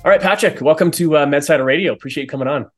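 A man hosting a podcast, reading a scripted intro and then chatting with a guest in a brisk, upbeat way. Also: fairly high pitch, music in the background. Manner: brisk and upbeat, with fillers and restarts when unscripted. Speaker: podcast host. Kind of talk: scripted intro read, then casual interview.

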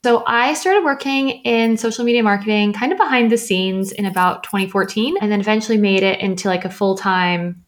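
A woman's voice: medium pitch, a little fast, hardly any pauses. A woman guest on a podcast, a business founder, talking in a chatty way with lots of "like". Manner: chatty, heavy use of "like". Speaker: social media business founder. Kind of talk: casual podcast interview.

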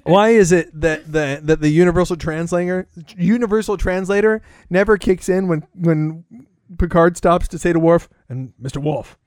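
Man, fairly high voice, playful co-host in casual banter, dead-dry close recording. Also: normal speed, clean tone, no echo. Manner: joking, animated, repeats and restarts. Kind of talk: casual chat about sci-fi shows.

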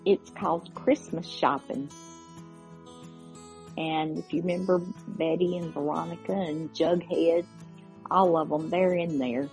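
Female host, informal halting intro, gravelly fry in a lower voice, music underneath. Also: steady tone, darkish tone. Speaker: podcast host. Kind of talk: casual, chatty show introduction.

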